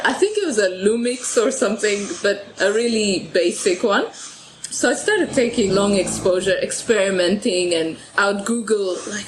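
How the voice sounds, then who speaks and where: A woman's voice: medium pitch, low-quality recording. A woman from Ethiopia, a podcast guest talking about her photography, often laughing and restarting words. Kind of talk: conversational interview.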